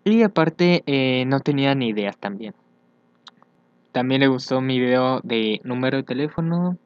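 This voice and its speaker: A young man chatting casually as he reads social media aloud for a vlog, hesitant and thinking out loud. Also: medium pitch, expressive, normal speed, smooth, no echo. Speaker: fandub YouTuber. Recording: dull, lo-fi home microphone.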